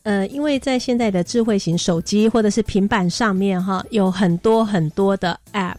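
A woman's voice: medium pitch, moderate pace, no echo. A woman with a Taiwanese Mandarin accent, a music teacher explaining things point by point as an interview guest. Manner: explains point by point with softening particles. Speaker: high school music teacher, radio guest.

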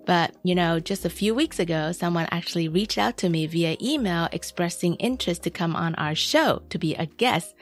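American woman hosting, lower-pitched chatty voice. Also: normal speed, few pauses, soft background music. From United States, San Francisco Bay Area. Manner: informal, chatty. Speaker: radio podcast host. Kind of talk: casual interview chat.

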